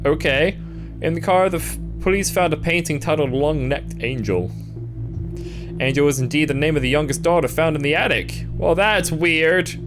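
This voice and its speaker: A male streamer doing a live reaction, reading text aloud and adding sarcastic, deadpan asides. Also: a little high, normal speed. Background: music.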